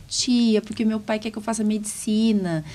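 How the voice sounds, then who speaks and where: A woman's voice: medium pitch, steady tone, normal speed, dark tone. A middle-aged Brazilian woman hosting a podcast, talking in a casual, anecdotal way and often checking for agreement. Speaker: podcast host, physician. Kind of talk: casual conversational podcast chat.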